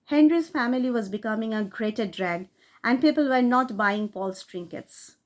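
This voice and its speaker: A woman reading a classic short story aloud, in a steady storytelling manner that rises for emphasis. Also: a little high, moderate pace. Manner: steady storytelling read with rising emphasis on key phrases. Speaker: audiobook reader.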